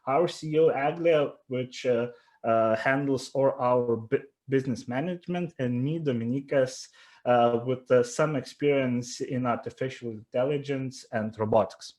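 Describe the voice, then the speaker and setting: A man with a Lithuanian accent giving an earnest startup pitch presentation, with frequent fillers and restarts. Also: moderate pace, darkish tone.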